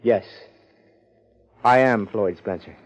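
A man acting a subdued, earnest young male heir in a 1950s radio drama, speaking formally and with measured care. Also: on the low side. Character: earnest, subdued twenty-one-year-old male millionaire heir. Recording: muffled 1950s radio recording.

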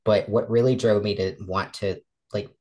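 A woman in her twenties with a relatively deep voice, a guest talking casually in an interview. She acts out the remarks she quotes and talks with many fillers and restarts. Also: fairly even intonation, normal speed, warm tone. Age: 20s.

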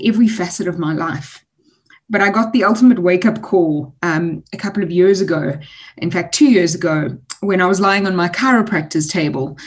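A woman in her forties, a guest author answering interview questions in an articulate, measured way with frequent ums. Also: fairly low pitch. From South Africa.

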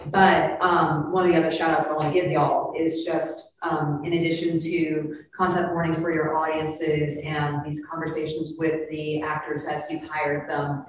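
A speaker on a panel praising the guests' care, in a measured way with frequent fillers. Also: medium pitch, a little hiss or hum. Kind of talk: panel discussion. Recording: echoey room, far from mic.

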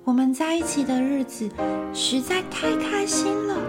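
A woman's voice: very high-pitched, animated, moderate pace. A woman voicing a brave, earnest girl heroine in a storybook tale, with youthful, emphatic delivery. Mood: tender longing. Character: brave, earnest girl heroine searching for her twin sister. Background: music.

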